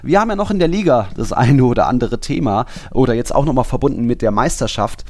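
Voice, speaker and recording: A man's voice: mid-range voice, expressive, fast talker, darkish tone, dry recording. A man explaining things in casual podcast conversation, in loose, rambling sentences. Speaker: podcast co-host.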